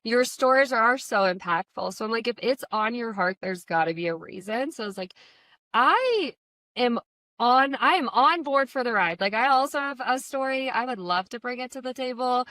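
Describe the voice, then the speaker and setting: Chatty thirties woman co-host, bright, slightly high voice, dry close sound. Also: expressive, no room sound. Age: 30s. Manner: chatty, quick, laughs often. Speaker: podcast co-host. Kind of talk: casual chat among friends.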